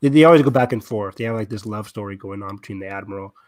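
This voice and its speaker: A man's voice: fairly low pitch, rapid-fire, darkish tone, no echo. Enthusiastic man chatting, close dry sound. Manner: gushing, enthusiastic. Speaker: podcast co-host. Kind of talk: casual fan discussion.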